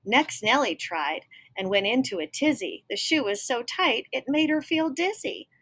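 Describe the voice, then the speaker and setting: A woman's voice: a little high, animated, moderate pace, a bit bright. A woman reading a children's picture book aloud expressively, with explanatory asides to young listeners. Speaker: storybook reader.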